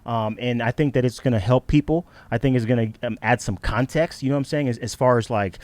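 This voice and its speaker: Male interview host, slangy and conversational, medium-pitched voice, very dry recording. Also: fast, no room sound. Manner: slangy, excitable banter with repeated check-in phrases.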